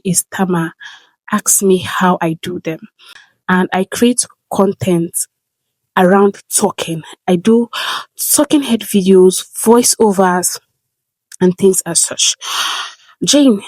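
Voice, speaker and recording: A woman's voice: medium pitch, a little mellow, no room sound, low-quality recording. A young woman with a heavy stammer, earnestly giving a viewer advice in a casual vlog. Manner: heavy stammer with repeated sounds and blocks; earnest, speaks directly to a viewer by name. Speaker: content creator.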